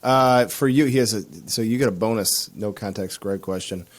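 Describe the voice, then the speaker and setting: A man hosting a casual podcast interview over a video call, upbeat and quick with short affirmations. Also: lowish voice. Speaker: podcast host.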